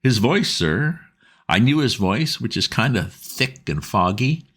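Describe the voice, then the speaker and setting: A man's voice: mid-range voice, sing-song, unhurried, fairly bright tone, husky, pitched above the performer's own voice, exaggerated voice. Man doing a fretful chatty boatman's wife. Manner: homely dialect, rambling. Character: stout red-faced working-class boatman's wife, anxious, chatty.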